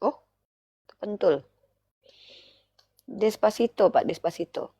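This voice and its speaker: A woman's voice: medium pitch, lively intonation, slow pace, mellow, slightly rough, no echo. A woman, speaking didactically in a live online religious debate and putting rhetorical questions to listeners. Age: 30s.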